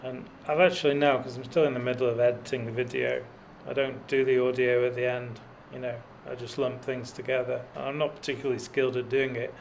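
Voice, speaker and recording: Gravelly, self-mocking middle-aged man. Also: mid-range voice, little pitch movement, fairly quick, few pauses, a little hiss or hum, rough recording. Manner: dry self-deprecating humour, many fillers. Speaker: cycling vlogger. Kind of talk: rambling self-deprecating commentary while riding. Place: outdoors on a mountain road.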